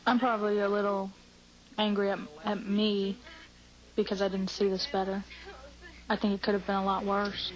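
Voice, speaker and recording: A woman's voice: mid-range voice, level tone, normal speed, long pauses. An American woman reflecting on her experience as an interviewee. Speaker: interviewed crime victim. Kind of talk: interview recollection. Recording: dull, muffled audio.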